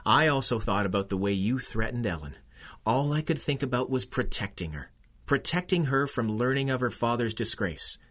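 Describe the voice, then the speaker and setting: A man acts a young police constable from a 1930s radio serial. He is earnest and resolute, with a medium-pitched voice and a defiant edge. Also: expressive, normal speed, a bit bright. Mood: cool defiance. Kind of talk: 1930s radio drama acting.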